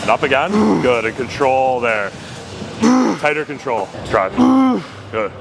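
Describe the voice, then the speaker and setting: A man's voice: medium pitch, a little slow, background noise. A young Canadian man coaching a training partner through reps in a gym, pushing him on with short urgent calls. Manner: urgent barked encouragement. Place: gym with loud music. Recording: loud music behind.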